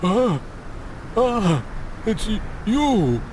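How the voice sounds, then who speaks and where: A man's voice: high-pitched, sing-song, extremely slow, a little mellow, a little hiss or hum, exaggerated voice. An actor playing a superstitious, simple-minded and pitiful man in a video game, pleading in broken English. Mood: terrified.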